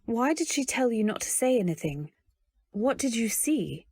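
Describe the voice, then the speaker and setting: An actress playing an anxious young wife, telling her story in measured, literary old-time radio drama style. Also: a little high, sing-song, darkish tone, breathy edge, full character voice. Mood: puzzled, probing.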